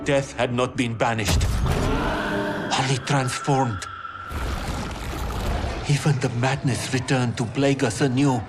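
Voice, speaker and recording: An actor playing a grave, wise scholar of an ancient desert people in a fantasy game, speaking in a measured, solemn and formal way over music. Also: male voice, mid-range voice, fairly even intonation, lightly acted. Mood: solemn, mournful. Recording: loud music behind the voice.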